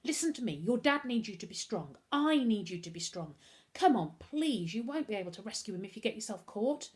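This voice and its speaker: A woman's voice: a little high, animated, fast talker, mellow, close to an everyday voice. A woman voicing an anxious, loyal young girl in a storybook, urgent, breathless and insistent. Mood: firm, urgent. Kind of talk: storybook character voice.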